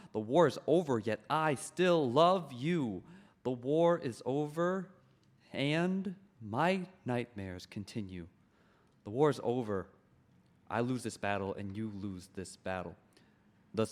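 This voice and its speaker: A man reading the part of a lovesick monkey king at a play's table read, slowly chanting and singing his verses. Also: medium pitch, natural voice. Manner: slow, chanting sung refrains. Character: lovesick monkey king, male adult, brooding. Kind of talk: table read of a stage play, with sung verses.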